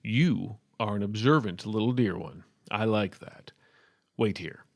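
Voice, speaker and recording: A flamboyant, teasing man from a storybook, voiced by a male narrator. He sounds creaky and close, with a playful, knowing amusement in how he speaks. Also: fairly low pitch, a little slow, no echo. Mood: amused. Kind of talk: storybook character voice.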